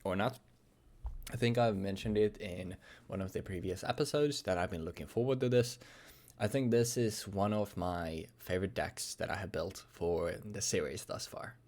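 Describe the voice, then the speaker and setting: A young man with a hoarse, worn voice from recent illness, casually talking through a card deck in a loose, rambling way full of fillers. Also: sits low, normal speed, darkish tone. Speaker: card game YouTuber.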